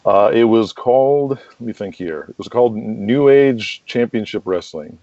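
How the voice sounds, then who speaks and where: A man's voice: on the low side, expressive, a little slow, mellow, no echo, dull audio. A middle-aged American man telling rambling anecdotes casually as an interview guest. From United States. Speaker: interview guest, wrestling promoter and manager.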